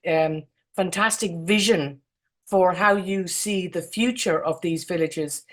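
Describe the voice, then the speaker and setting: A woman's voice: on the low side, slow pace. A woman with an Irish accent giving informal, effusive remarks as a jury member, often repeating words as she goes. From Ireland. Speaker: competition jury member, architect. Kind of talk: informal remarks at an online awards gathering.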